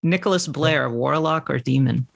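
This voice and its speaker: A man's voice: fairly high pitch, moderate pace, vocal fry. A man hosting a podcast in a casual conversational interview style. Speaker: podcast host.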